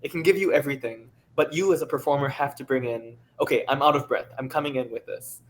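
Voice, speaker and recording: A man's voice: medium pitch, quick pace. A man speaking as an audio director in a panel discussion, explaining his points earnestly. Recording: clear background noise.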